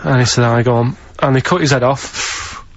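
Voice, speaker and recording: A man casually telling a rambling anecdote on a radio show, halting and vague but earnest. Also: medium pitch, steady tone, slight background noise. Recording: dull lo-fi radio recording.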